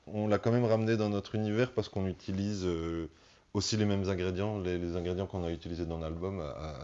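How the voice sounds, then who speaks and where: A man with a very low-pitched voice talks as a musician in a relaxed interview. He feels his way through his explanations, pausing and restarting as he looks for the right word. The sound is somewhat dull and lo-fi. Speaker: band musician being interviewed.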